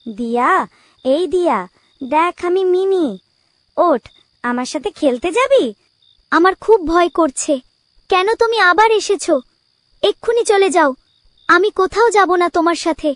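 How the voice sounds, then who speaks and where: A woman's voice: extremely high pitch, a little slow, pauses often, no echo. A woman voicing a small girl in a spooky cartoon ghost story. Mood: eerie coaxing. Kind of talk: cartoon voice acting.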